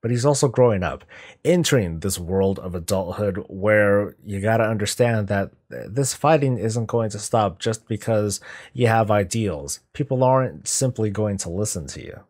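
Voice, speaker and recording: A man's voice: fairly low pitch, lively intonation, normal speed, darkish tone. A middle-aged man giving casual, musing reaction commentary as a video host, talking conversationally. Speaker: YouTube host reacting to game cinematics.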